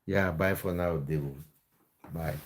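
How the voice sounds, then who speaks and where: A man's voice: deep voice, fairly even intonation, a little slow, slight background noise. A man from Cameroon hosting a casual football livestream, chatting with viewers and often restarting his words. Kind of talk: casual live chat with viewers.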